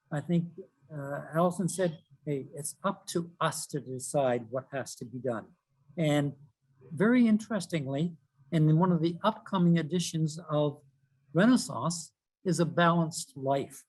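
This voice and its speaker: Halting older man, higher voice. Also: darkish tone, poor audio. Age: 70s. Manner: halting, many "uh" fillers, listing examples. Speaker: panelist for a retired teachers' group. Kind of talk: webinar panel remarks.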